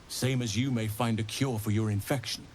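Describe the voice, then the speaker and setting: An actor playing an earnest, learned druid burdened by regret, speaking in measured, formal phrases in a fantasy video game. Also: male voice, on the low side, fairly even intonation, darkish tone, a little hiss or hum, natural voice. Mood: grave, regretful. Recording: game music behind.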